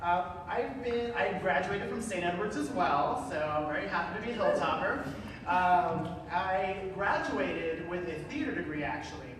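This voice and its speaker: A man in his thirties with a loud voice, telling his story on a career panel like the former actor he is. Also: sits high, expressive, moderate pace, a crowd in the background. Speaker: marketing director on a career panel. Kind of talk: panel introduction. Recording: echoey hall from far off, noisy.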